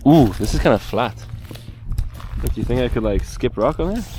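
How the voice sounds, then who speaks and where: A man filming a casual travel vlog outdoors by a frozen lake, talking excitedly, laughing at himself and using plenty of fillers. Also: mid-range voice, normal speed. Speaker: travel vlogger. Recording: noisy outdoor recording.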